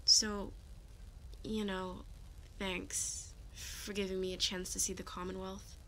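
A woman's voice: medium pitch, little pitch movement, slow pace, bright, forceful tone, a little hiss or hum, poor audio. A woman voicing a friendly, grateful settler trader in a video game, speaking in an obliging, conversational way. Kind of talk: video game dialogue acting.

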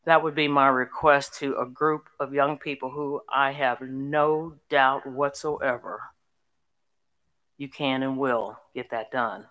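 Older female commencement speaker, forceful and resonant, earnest delivery, emphasising key phrases at a podium. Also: very deep voice, fairly even intonation, takes it slowly. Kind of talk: formal inspirational public speech. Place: on stage in a large hall at a graduation ceremony. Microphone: podium microphone.

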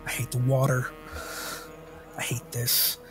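A man's voice: mid-range voice, monotone, unhurried, fairly bright tone, faint music behind, natural voice. A man playing an irritable, sardonic male traveler who grumbles in a tabletop game. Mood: grumbling, weary.

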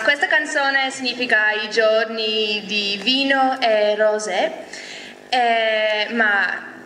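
A woman's voice: a little high, recorded from a distance, background noise. A young woman, a student singer, chatting casually and jokingly into a handheld microphone on stage. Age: young adult. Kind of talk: casual onstage chat. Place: theatre stage, indoors. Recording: echoey stage PA in a hall.

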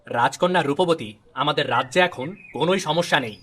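A man's voice: on the high side, fairly quick, fairly bright tone, a little hiss or hum, natural voice. A man voicing a loyal, deferential royal minister in a storybook cartoon, speaking formally and dutifully. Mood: respectful.

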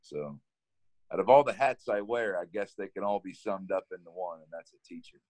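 A man from Kansas in his thirties with a deep voice, telling his story in a relaxed, casual way during an interview over a video call. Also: fairly quick, dry recording, low-quality recording. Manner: relaxed storytelling, laughs often, repeats words when starting. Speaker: environmental educator guest. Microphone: webcam on a video call.

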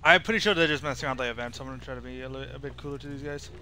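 A man's voice: mid-range voice, very fast. A young man giving casual, off-the-cuff gameplay commentary as a streamer. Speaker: game streamer. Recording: noisy stream with game sounds.

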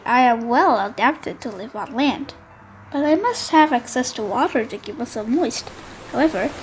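A woman narrating a storybook for young children with expressive, deliberate phrasing. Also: high voice, rough recording.

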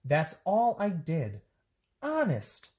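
A woman's voice: low-pitched, huge pitch swings, slow pace, darkish tone, voice pushed up, full character voice. A woman voicing an earnest little schoolgirl who explains herself in a singsong way. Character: earnest little schoolgirl telling her story.

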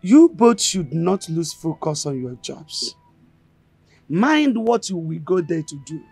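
A woman's voice: fairly low pitch, sing-song, normal speed, darkish tone, slightly rough, no echo, faint music behind, full character voice. An actress with a Nigerian accent playing a bossy, protective, emotional village mother in a film drama. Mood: scolding. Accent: Nigerian Igbo-language region. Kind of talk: Nollywood-style film drama acting.

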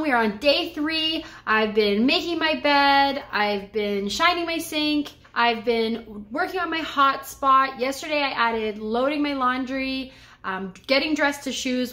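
A woman in her thirties chats to the camera from her bedroom at home, upbeat and encouraging. Her voice sits a little high. She is picked up by the camera mic at close range. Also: fairly bright tone. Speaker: home cleaning vlogger. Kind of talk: casual chat to camera and voice-over.

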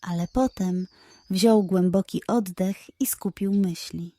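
A woman narrating a scripted children's bedtime story in a measured, expressive manner. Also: fairly low pitch, no echo.

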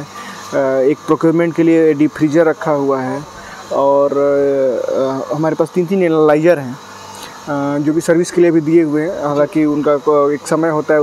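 A man's voice: on the high side, a little mellow, a little hiss or hum. A man in his thirties, outdoors at night beside a delivery van, explaining a dairy business at length with frequent "uh" fillers and English business terms. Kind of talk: casual interview answers.

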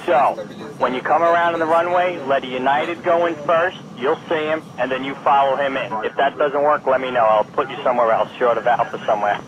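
A man working as a ground controller, giving brisk, exasperated, wisecracking instructions to pilots over the radio. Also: medium pitch, highs cut off, a crowd in the background. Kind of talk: air traffic control radio. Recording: noisy radio transmission.

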